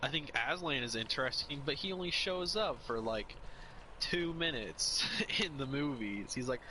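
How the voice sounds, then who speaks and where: A man's voice: mid-range voice, lively intonation, moderate pace, sharp tone, poor audio. Young man, casual chat, rambling and opinionated, faint street noise behind. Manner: rambling, opinionated, restarts often. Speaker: podcast co-host.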